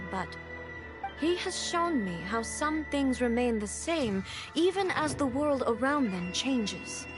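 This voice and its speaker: A woman playing a dignified, stern ruling goddess and swordswoman in a video game, speaking in a formal, measured way. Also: fairly high pitch.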